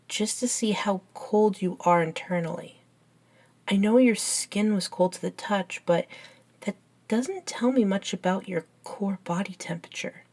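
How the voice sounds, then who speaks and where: A woman acting as an eager young female best friend with a nerdy, curious streak. She talks slowly and chattily, explaining things with soft enthusiasm in an intimate audio roleplay. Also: medium pitch. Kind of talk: ASMR roleplay, scripted one-sided conversation.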